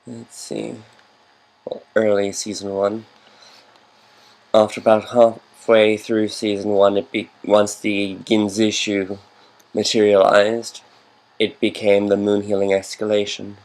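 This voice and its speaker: A man with a fairly low voice talks casually over a video game. He rambles like a devoted fan, drifting from one aside to the next. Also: monotone, slow, darkish tone, low-quality recording. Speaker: game commentator.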